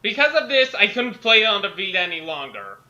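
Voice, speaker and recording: A man's voice: high-pitched, sing-song, quick pace, pushed and bright, muffled recording. A young man on an online group call, chatting casually and reading his own writing aloud. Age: young adult. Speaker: fanfic author joining the reading.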